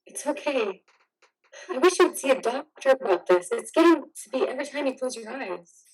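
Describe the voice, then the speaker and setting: A woman's voice: high-pitched, animated, moderate pace, slightly rough, full character voice, poor audio. A woman in a reader's theater drama playing a caring, worried wife, soothing and pleading.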